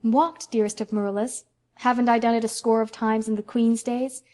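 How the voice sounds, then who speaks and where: A woman's voice: on the high side, level tone, moderate pace, mellow, natural voice. A woman voicing a dreamy, effusive young woman who speaks in a lyrical, gushing way.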